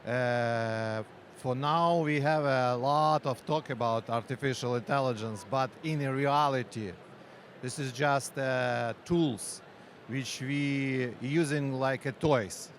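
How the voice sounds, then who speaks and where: Man, Ukrainian accent, mid-pitched voice, hesitant phrasing, faint outdoor vehicle noise. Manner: halting non-native English, frequent pauses and fillers. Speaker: company founder and researcher. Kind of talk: conference interview.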